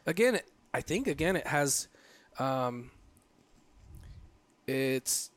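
A man in his late thirties, a photographer, talking in a relaxed interview, reflective and rambling with many fillers and restarts. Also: mid-range voice, drawn-out words. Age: 30s. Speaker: guest, photographer. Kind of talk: casual interview conversation.

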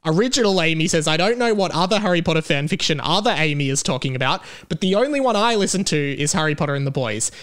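Australian man, slightly high voice, podcast host, casual chat and mail reading, recorded over a video call. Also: expressive, quick pace, hardly any pauses, a bit bright. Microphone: remote video call recording.

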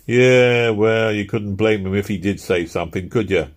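A man's voice: mid-range voice, normal speed, voice pushed up, natural voice. A man voicing a sly, sarcastic workman in a storybook reading. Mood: offensive. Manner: sarcastic, offensive.

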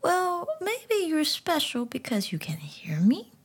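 A woman voicing a small, kind talking frog that speaks warmly and with amusement. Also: sits high, wildly swooping pitch, takes it slowly, pitched above the performer's own voice, heavily acted. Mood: gentle amused. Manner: warm, amused, whispery.

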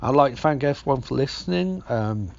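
Hoarse gravelly man ranting. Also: mid-range voice, expressive, a little fast. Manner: sweary, rambling, acts out scenes. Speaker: podcast host. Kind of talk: casual profane movie recap. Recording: dull lo-fi recording.

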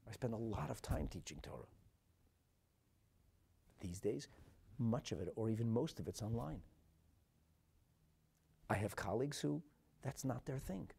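A man with a North American accent giving a religious sermon as a rabbi, emphatic and dramatic, phrasing deliberately and repeating for effect. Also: medium pitch, animated, creaky, husky. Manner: emphatic and dramatic, swinging from hushed asides to loud declarations; deliberate phrasing with rhetorical repetition. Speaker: rabbi and Torah teacher.

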